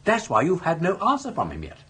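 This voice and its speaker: An actor playing a suave, roguish older gentleman with an upper-class English accent, speaking in flowery, persuasive phrases in a British radio comedy. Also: fairly high pitch, huge pitch swings, brisk, breathy edge, heavily acted. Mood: earnest, persuasive.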